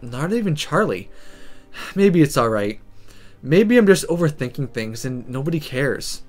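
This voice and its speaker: A let's player reading a visual novel aloud in a dramatic, sometimes halting storytelling manner. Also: medium pitch, big pitch swings, a little mellow, faint music behind.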